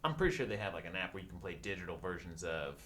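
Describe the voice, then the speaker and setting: A man's voice: sits low, very animated, quick pace, a crowd in the background. A man hosting a podcast in a casual, conversational style. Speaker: podcast host.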